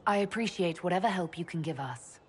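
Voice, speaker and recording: An actress voicing an elven leader in a video game, speaking plainly and directly. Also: female voice, on the low side, expressive, fairly quick, a little hiss or hum, lightly acted. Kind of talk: video game cutscene dialogue.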